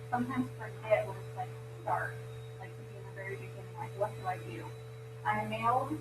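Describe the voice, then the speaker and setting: A woman giving an informal training talk to a group, plain-spoken and encouraging. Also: on the high side. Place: room with a group present. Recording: roomy, distant mic, clear background noise.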